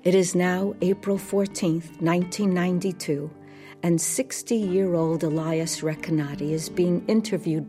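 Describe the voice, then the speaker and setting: A woman hosting a podcast, reading a scripted narration in a measured way. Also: on the low side, warm tone. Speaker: podcast host.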